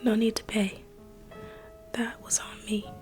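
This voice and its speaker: A woman whispers slowly and intimately, speaking close and soothing as a private museum guide in an ASMR roleplay. Her pitch sits in an ordinary middle range. Gentle instrumental music plays clearly behind her. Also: little pitch movement. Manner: soft whispering, intimate and reassuring.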